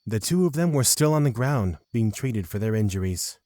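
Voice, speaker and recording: A man's voice: on the low side, animated, normal speed, warm tone, no room sound, studio quality. A man narrating a scripted fantasy audio drama.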